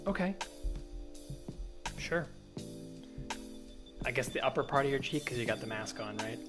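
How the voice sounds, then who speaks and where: Man as an awkward, earnest, halting churchgoer. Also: mid-range voice, expressive, over music, natural voice. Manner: halting, tentative. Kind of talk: improvised audio comedy.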